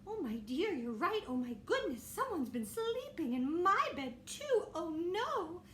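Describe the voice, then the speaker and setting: Lady doing a fussy mama bear, high and swooping, frets a lot. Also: very animated, measured pace, fairly bright tone, echoey room, a little hiss or hum, exaggerated voice. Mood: fretting. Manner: fretful, repeats herself. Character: fussy fretting mother bear, female.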